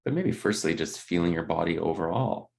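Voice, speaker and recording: A man leading a guided body scan meditation, speaking gently in unscripted, hesitant phrases. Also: deep voice, expressive, brisk, gravelly.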